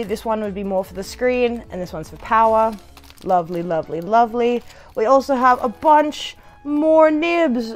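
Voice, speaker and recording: A young woman chatting casually to camera during an unboxing, with a playful, bubbly manner and sing-song asides, recorded in a living room. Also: fairly high pitch. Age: young adult. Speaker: product reviewer.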